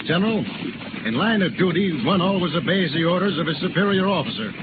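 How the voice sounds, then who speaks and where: A thin, heavily noisy 1930s radio recording. a cautious male cavalry captain, speaking high, formal and measured, as a dutiful officer would. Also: lively intonation, narrow, tinny audio. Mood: wary, respectful. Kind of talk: 1930s radio drama acting.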